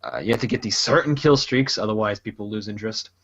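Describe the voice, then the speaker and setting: A man's voice: lowish voice, fast talker, fairly bright tone, dull audio. A man casually chatting as a podcast host, rambling loosely and laughing often. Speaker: podcast host and gaming YouTuber. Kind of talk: casual chat.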